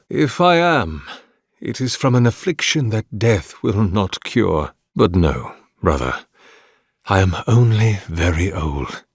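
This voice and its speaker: Ancient, solemn low-voiced priest. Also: male voice, no room sound, clean studio sound, exaggerated voice. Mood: melancholy, weary. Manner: formal, archaic, measured, reverent. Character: ancient, devout, melancholy godlike priest, courteous and solemn. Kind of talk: solemn video game character dialogue.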